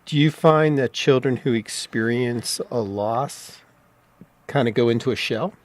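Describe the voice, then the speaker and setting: A man's voice: mid-range voice, a little slow. A man hosting an interview, asking curious, conversational follow-up questions and politely apologizing when he interrupts. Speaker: interview host.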